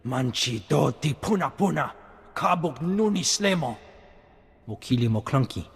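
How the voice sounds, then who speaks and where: A man's voice: a little high, lively intonation, unhurried, creaky. A man voicing an alien informant who chatters in an alien language, in a video game cut-scene. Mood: chattering. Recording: long reverb with game music.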